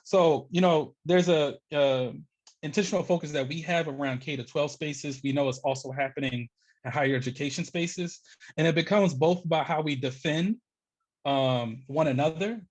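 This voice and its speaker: An American man in his thirties speaking off the cuff as a panelist, halting as he thinks aloud on a video call. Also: fairly high pitch, a little mellow, poor audio. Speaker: educator and activist panelist. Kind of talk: panel discussion, unscripted. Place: small home room, indoors. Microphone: headset on video call.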